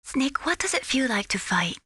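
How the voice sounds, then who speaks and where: An actress playing an earnest, gently teasing young female radar technician in a video game. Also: high voice, very animated, moderate pace, slightly rough, no room sound, clean studio sound, heavily acted. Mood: thoughtful. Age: young adult. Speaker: mission support operator. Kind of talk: scripted video game voice acting.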